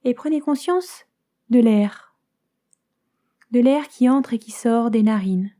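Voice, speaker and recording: A woman guides a yoga nidra meditation as a teacher, calm and soothing, in soft phrases that turn breathy at the ends, with many pauses. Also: a little high. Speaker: yoga nidra teacher guiding a relaxation session.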